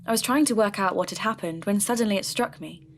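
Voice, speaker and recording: An actress playing a curious, determined podcast investigator, narrating her case in a thoughtful, deliberate way. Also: medium pitch, close to an everyday voice. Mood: frustrated, curious. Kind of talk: scripted podcast narration, measured and reflective.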